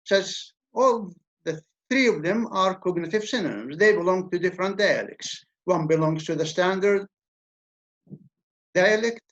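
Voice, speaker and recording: Guy lecturing, slow and careful, kinda high voice, dull lo-fi sound. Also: a bit bright. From Levant. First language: Arabic. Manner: measured, explanatory, repeats words when restarting. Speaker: university lecturer. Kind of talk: academic lecture. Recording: dull lo-fi recording.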